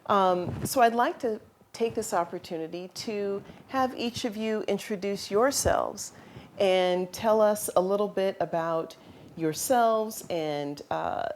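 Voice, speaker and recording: A woman's voice: on the low side, slight background noise. A woman author moderating a live panel, talking informally.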